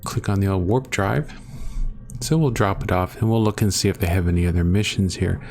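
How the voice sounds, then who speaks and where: Rambling gamer guy, low voice. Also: fairly quick, a little mellow. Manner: rambling, self-deprecating, thinks aloud while playing. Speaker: gaming channel host. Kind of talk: casual gameplay commentary. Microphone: desktop microphone, close.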